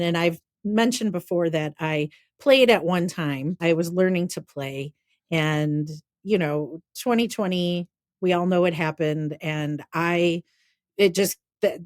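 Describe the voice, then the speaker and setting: Chatty low-voiced woman co-host. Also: dry recording, studio quality. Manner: lively reactions, chatty, rambling. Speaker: podcast co-host. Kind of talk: casual chat.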